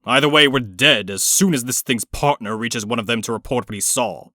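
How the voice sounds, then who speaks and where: A man's voice: mid-range voice, a little fast, pushed and bright, no echo, studio quality, natural voice. Man playing a scheming, menacing villain. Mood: calculating, grim. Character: cold, scheming middle-aged male villain, menacing and commanding. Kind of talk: dramatic comic dub acting. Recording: music behind the voice.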